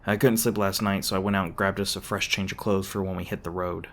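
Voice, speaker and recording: Man acting a low-voiced, brash monster hunter. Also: level tone, quick pace, fairly bright tone. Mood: casual, teasing. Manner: stammering when flustered, brash and sarcastic. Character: gruff, edgy monster hunter, defensive but secretly soft-hearted. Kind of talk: ASMR roleplay voice acting.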